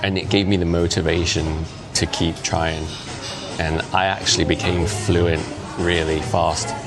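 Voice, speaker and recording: A man's voice: deep voice, measured pace, music in the background. A man in his fifties whose first language is Cantonese, chatting casually to camera and often acting out other people's voices. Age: 50s. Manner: chatty, animated, often acts out quoted voices. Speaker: vlogger.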